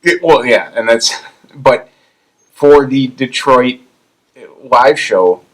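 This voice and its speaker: A man co-hosting a podcast, retelling anecdotes casually in a halting way. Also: mid-range voice, expressive, a bit bright, rough recording. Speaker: podcast co-host.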